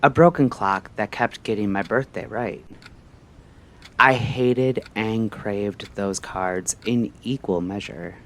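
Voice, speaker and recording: Slow, low-voiced male radio storyteller over music. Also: a bit bright. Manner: measured, theatrical, short clipped phrases with pauses. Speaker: radio show host and storyteller. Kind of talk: dramatic scripted first-person narration, late-night radio storytelling.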